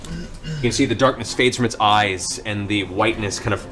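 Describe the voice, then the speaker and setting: A man's voice: lowish voice, animated, normal speed, fairly bright tone. A man running a tabletop fantasy game as game master, narrating in a descriptive, dramatic storytelling way. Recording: music under the voice.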